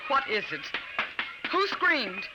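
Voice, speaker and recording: A woman's voice: high-pitched, sing-song, takes it slowly, dull audio, over loud music, heavily acted. A woman playing an anxious but firm suburban American mother in a 1950s radio drama, speaking theatrically and emphatically. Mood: stern, probing. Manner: theatrical, emphatic, hesitant when worried. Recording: noisy vintage radio recording.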